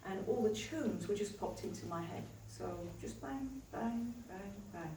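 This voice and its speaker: A woman with a low contralto voice telling a story to a live audience, acting out what people said. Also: measured pace, noisy background. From New Zealand. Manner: animated storyteller, acts out quotes. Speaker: healer and live event host. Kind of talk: chatty stage talk to an audience. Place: live event venue. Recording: echoey hall, heard from a distance.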